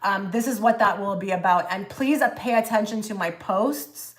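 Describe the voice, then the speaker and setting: Woman, tarot livestream host, casual chatty reading, halting, fillers and restarts, mid-range voice. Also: medium pitch. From half Egyptian.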